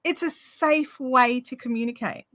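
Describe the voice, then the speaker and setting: Bright woman host. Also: sits high, a little slow. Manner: frequent short agreements, conversational. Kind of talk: interview host chat.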